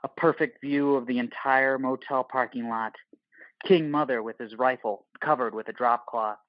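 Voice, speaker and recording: Narrator for audio play: male, mid-pitched, terse and clipped, scripted present-tense delivery, very dry room. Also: fairly even intonation, moderate pace, no room sound, muffled recording.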